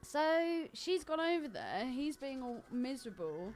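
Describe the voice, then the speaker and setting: Woman chatting on a podcast, high voice that swings all over, blunt n gossipy, bit of music behind. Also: very animated, a little slow, darkish tone. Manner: gossipy, blunt, swearing freely; emphatic, repeats phrases for stress. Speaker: podcast co-host. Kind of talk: casual chat.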